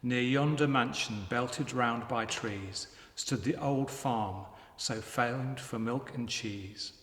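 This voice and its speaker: A man recites rhymed poetry at a slow, deliberate pace. His voice sits at a middling pitch. The room rings around him with long, hall-like echo. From England. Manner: measured, deliberate delivery of rhymed verse. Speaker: poetry reader. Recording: echoey, reverberant hall.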